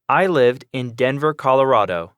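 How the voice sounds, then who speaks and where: A man's voice: medium pitch, lively intonation, moderate pace, fairly bright tone, clean tone, no echo, lightly acted. A man playing an eager, polite student who asks plain questions in a scripted classroom dialogue. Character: young adult male student, eager, polite.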